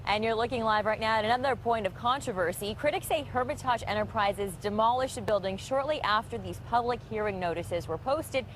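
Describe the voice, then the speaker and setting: A woman's voice: a little high, a little fast, few pauses, a little hiss or hum. American woman reporting live TV news outdoors. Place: outdoors on a city street by a demolition site.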